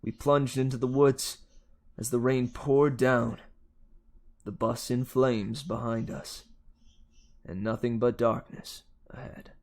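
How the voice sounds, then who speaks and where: A man's voice: mid-range voice, level tone, slow, a little mellow. A man reading aloud as a boy hero narrating an adventure story with dramatic urgency. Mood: tense, dramatic. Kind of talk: dramatic audiobook-style reading.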